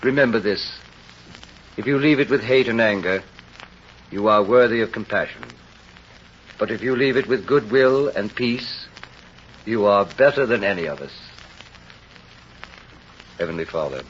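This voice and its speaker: An actor playing a saintly, patient bishop who speaks in a measured, gently reasoning way in an old-time radio drama. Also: male voice, fairly low pitch, close to an everyday voice. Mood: solemn. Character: saintly, serene, forgiving male bishop. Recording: dull, noisy old radio recording.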